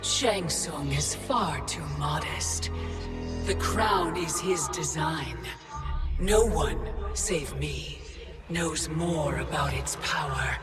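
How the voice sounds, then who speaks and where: An actress playing an imperious, smug ruler of time in a video game, speaking in a haughty, taunting, deliberate way. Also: female voice, deep voice, over music. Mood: mocking, imperious. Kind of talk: dramatic video game acting.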